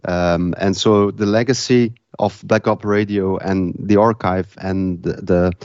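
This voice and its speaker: A man from Flanders with a Flemish Dutch accent, speaking English as a second language, talking haltingly with many fillers as a guest in a casual interview. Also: sits low, darkish tone, clear, resonant tone, dry recording, dull audio. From Belgium, Flanders. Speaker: event organizer, guest.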